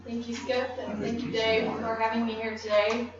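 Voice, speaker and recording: A woman with a New Zealand accent giving a technical conference presentation, measured and explanatory with frequent ums. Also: fairly high pitch, little pitch movement, noisy background. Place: conference room. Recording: echoey room, distant mic, dull.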